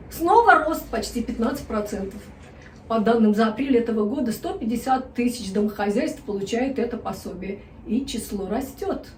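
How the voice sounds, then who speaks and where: A woman delivers news commentary with a wry, ironic edge, her voice sitting a touch high. She sounds somewhat distant from the mic in a roomy, echoing space with faint noise behind. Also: a little mellow. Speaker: news presenter. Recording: echoey room, a bit distant.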